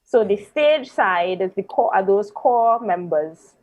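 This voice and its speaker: A woman in her thirties from Trinidad and Tobago with a Trinidadian accent, telling her story in a relaxed interview chat with soft laughs and ums. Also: mid-range voice, slow, dry recording. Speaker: guest musician.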